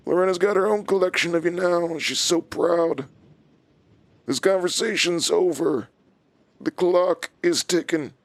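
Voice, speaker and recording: A smug, menacing male villain played by an actor in a horror audio drama. His voice is on the higher side and recorded dry, with a controlled, calculating and taunting delivery. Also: no echo, close to an everyday voice. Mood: gloating.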